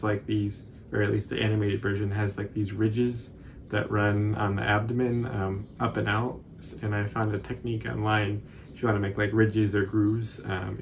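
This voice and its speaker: A man in his twenties giving a casual, informal tutorial commentary in a halting, hesitant way. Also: on the low side, a little hiss or hum. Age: 20s. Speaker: cosplay tutorial presenter.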